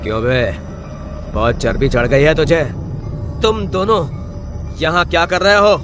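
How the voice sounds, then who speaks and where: An actor playing a brazen, defiant blackmailing village swindler in an animated drama, combative and theatrical. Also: male voice, fairly high pitch, unhurried, music in the background. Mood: confrontational.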